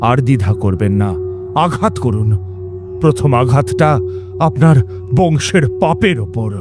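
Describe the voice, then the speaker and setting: A man's voice: mid-range voice, animated, unhurried, music in the background, lightly acted. A man playing a stern, fearless tantric priest in a horror audio drama, solemn and commanding. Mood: solemn resolve.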